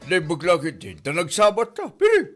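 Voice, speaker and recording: A man's voice: sits high, huge pitch swings, fairly quick, fairly bright tone, faint music behind, heavily acted. A man playing an exasperated older moneylender, scolding with an Ilocano Filipino accent in a comedy drama. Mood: indignant. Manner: scolding, exclaiming. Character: exasperated older male moneylender demanding repayment.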